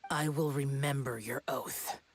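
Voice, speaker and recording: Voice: medium pitch, fairly even intonation, unhurried, close to an everyday voice. An actor voicing a young male immortal guardian warrior in a video game, aloof and brooding, speaking in a stern, solemn, curt manner. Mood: cold, condemning. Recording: faint background noise.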